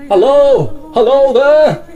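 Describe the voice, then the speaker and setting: A man's voice: extremely high pitch, lively intonation, slow pace, dark tone, exaggerated voice. An actor playing a courteous, eager man of an opera troupe in a period audio drama, formal and theatrical. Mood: awed, calling out.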